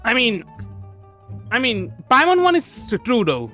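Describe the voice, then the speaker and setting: Indian guy streaming a game, bengali native, pretty high voice, rambly casual chat, faint music. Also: wildly swooping pitch, a little slow. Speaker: livestreamer playing a game. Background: soft background music.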